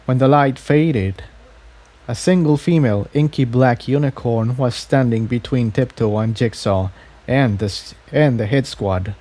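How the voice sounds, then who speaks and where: A man's voice: medium pitch, measured pace, dark tone. A man narrating a scripted story aloud, occasionally stumbling and repeating phrases as he reads. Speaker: fan fiction reader.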